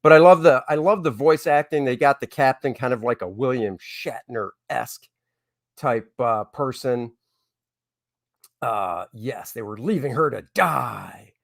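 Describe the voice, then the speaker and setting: A man's voice: medium pitch, measured pace, vocal fry, no room sound. Dry-sounding man in casual commentary. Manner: jokey interjections. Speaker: comic book artist hosting a channel.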